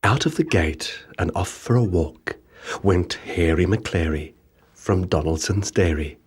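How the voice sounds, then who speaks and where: A man reading children's rhyming stories as an audiobook narrator, with measured, rhythmic, theatrical delivery. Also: sits low. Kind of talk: scripted children's storybook narration in rhyming verse.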